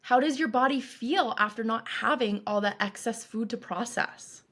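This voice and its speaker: A woman coach leading a guided meditation in a calm, reassuring, instructive way, with deliberate phrasing. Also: fairly high pitch. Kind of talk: guided meditation, scripted narration.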